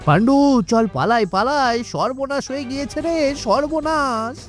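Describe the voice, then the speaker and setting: A woman voicing a scheming, excitable male village huckster in a cartoon, exclaiming and repeating phrases. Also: sits high, normal speed, soft background music. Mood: panicked. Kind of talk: cartoon voice acting.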